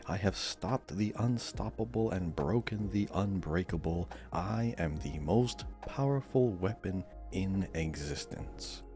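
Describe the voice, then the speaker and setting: A man's voice: deep voice, expressive, a little slow, hardly any pauses, dark tone, soft background music. A man voicing a boastful legendary weapon that recites a grand riddle in a grandiose manner. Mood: solemn boasting.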